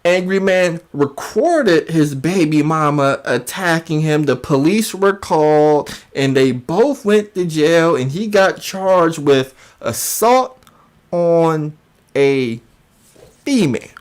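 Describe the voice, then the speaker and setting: Slow, emphatic online commentator. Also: medium pitch, animated. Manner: emphatic, repetitive, incredulous. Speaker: YouTube commentator. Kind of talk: mocking call-out commentary.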